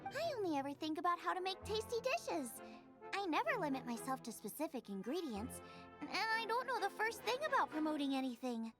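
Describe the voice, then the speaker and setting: Very high, animated young girl chef. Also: brisk. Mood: modest. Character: spirited young female chef, proud and creative. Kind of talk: video game voice acting. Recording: music playing behind the voice.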